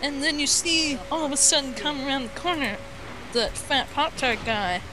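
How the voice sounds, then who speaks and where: High-pitched woman rambling through a story, noisy recording. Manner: rambling, halting, acts out quoted dialogue. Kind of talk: casual improvised storytelling over a game chat. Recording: noisy amateur voice chat.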